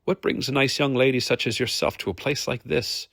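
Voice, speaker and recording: A man voicing a charming, roguish mercenary leader in a fantasy audiobook, smooth and confident. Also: medium pitch, brisk, a bit bright, no echo, clean studio sound, voice pushed up. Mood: flirtatious.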